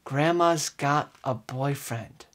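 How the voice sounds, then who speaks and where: A man in his twenties giving an earnest, overwrought dramatic reading, with emphatic phrasing and deliberate pauses. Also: a little high, fairly bright tone. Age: 20s. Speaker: comedic performer. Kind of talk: theatrical dramatic reading of song lyrics. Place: indoors, small room with curtain backdrop.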